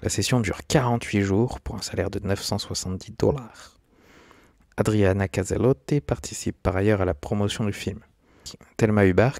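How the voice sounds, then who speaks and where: A man's voice: lowish voice, a little mellow, no room sound, clean studio sound. A man giving scripted, documentary-style narration as a video essayist.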